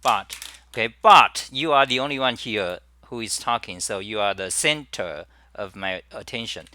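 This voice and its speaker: A middle-aged man chats casually on a live stream, stopping and restarting his sentences often. His voice is bright and forward, and the sound is dry and close, with almost no room around it. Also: lowish voice, expressive, moderate pace, no room sound. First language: Chinese. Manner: rambling with many restarts and fillers. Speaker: livestream host.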